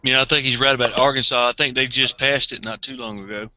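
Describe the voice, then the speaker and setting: Man, chat regular, agreeable, chuckles, thinks out loud, lo-fi call. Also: mid-range voice, fairly even intonation, fast talker, slight background noise. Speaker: chat participant. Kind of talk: casual group chat.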